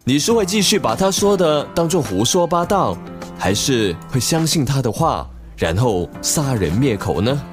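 Male drama presenter, lively intonation, scripted intro read over light guitar backing. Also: medium pitch, moderate pace.

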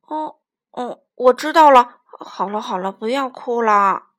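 A woman voicing a big, gruff but soft-hearted male tyrannosaurus in a storybook, stammering and blustering as a reluctant father. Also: fairly high pitch, expressive, unhurried. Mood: soothing.

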